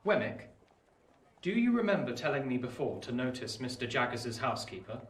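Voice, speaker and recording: An actor playing an earnest, anxious young gentleman in radio play dialogue, polite and sincere. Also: mid-range voice, sing-song, fairly quick, close to an everyday voice. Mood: inquiring. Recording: echoey, distant, faint noise.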